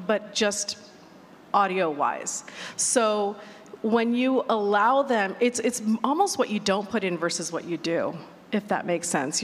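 Woman panelist in a reverberant hall. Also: mid-range voice. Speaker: audio fiction creator on a panel. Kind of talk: panel discussion, conversational explaining. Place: live convention panel in a hall. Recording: echoey hall, clear ambient noise.

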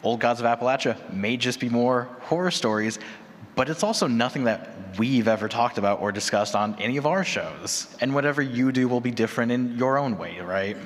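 A man's voice: mid-range voice, brisk. Man on a panel, echoing hall. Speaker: panelist and fiction podcaster. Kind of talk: conversational panel talk. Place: large convention room with audience. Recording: echoey hall PA, noisy room.

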